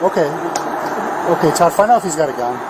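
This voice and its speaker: A man's voice: on the high side, expressive, quick pace, very noisy. A male police officer giving urgent, pleading commands, recorded outdoors on a body camera. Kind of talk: live body camera standoff talk. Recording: body camera with busy background.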